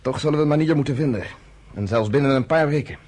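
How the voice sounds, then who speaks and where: A man's voice: mid-range voice, fast talker, darkish tone, breathy edge, natural voice. A man in his thirties playing a blunt, commanding underground leader in a radio play. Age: 30s.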